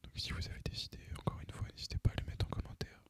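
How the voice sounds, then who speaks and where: A young man whispers in a casual, hesitant, unhurried ASMR ramble as a gaming channel host. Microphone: microphone recorded through a phone, voice-over on gameplay.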